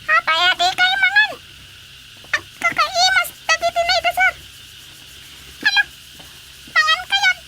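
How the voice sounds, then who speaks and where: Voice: very high voice, fairly even intonation, takes it slowly, long pauses, full character voice. An actor playing an insistent comic character in an Ilocano radio drama comedy, scolding and urging. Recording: very noisy radio drama with animal sounds.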